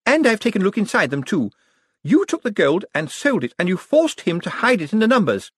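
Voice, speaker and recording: A man voicing a flustered, indignant woman servant, high and loud. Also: very animated, brisk, breathy edge, no room sound, studio quality, heavily acted. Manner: high-pitched, loud, defensive.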